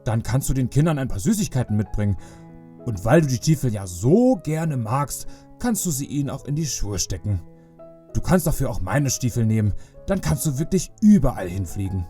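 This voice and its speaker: A jolly old Father Christmas acted by a man speaks with fatherly kindness in a children's audio play. His voice is warm and mellow and swings widely in pitch, with soft music underneath. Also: very animated, normal speed, close to an everyday voice. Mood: jovial.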